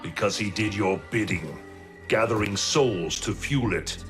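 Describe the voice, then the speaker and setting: A man's voice: lowish voice, unhurried, music in the background, close to an everyday voice. An actor playing a stern, righteous thunder god in a video game, solemn and resolute in his delivery. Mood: stern, defiant. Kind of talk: dramatic video game hero acting.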